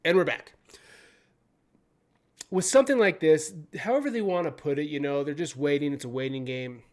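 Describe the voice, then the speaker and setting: A young adult man hosting his own channel, reading news out loud in a casual way, often stumbling over words, swearing and joking. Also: on the high side, moderate pace. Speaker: video host.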